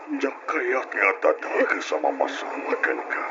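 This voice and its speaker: A man playing a calm husband in an old radio drama, soothing and reassuring, with a muffled, hissy sound. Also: medium pitch, a little slow, vocal fry, full character voice. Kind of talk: 1980s-style radio drama acting.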